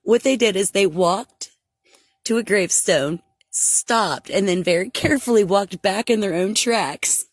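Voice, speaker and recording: Woman talking in a short video, amused, slow and careful, dry close sound. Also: medium pitch, dry recording. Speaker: social media video creator. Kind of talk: casual chat in a short video clip.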